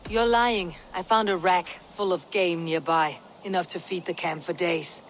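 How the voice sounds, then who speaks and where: A woman's voice: on the low side, steady tone, unhurried, slight background noise. A woman playing a stern, blunt Viking warrior in a video game, questioning in a terse, commanding way. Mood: accusing. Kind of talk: video game cutscene acting.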